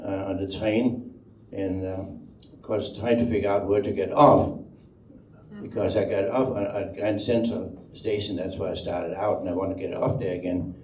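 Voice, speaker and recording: A distant, echoey recording of an older man with a low, mellow voice and a Danish accent. He recalls his past in a halting, rambling way, often doubling back on words. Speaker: speaker telling life story. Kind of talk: unscripted oral history storytelling. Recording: dull, roomy, distant microphone, faint noise.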